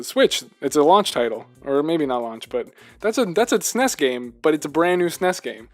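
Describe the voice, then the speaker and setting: A man co-hosting a casual video game discussion, talking in an animated, rambling way and repeating himself for emphasis. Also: on the high side. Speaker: podcast co-host.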